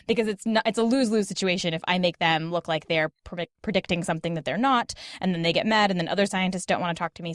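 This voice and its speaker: Woman podcast guest, quick conversational explainer, very dry close sound. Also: on the low side, no room sound. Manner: talkative, explanatory, frequent "like" and "sort of". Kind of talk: casual interview conversation.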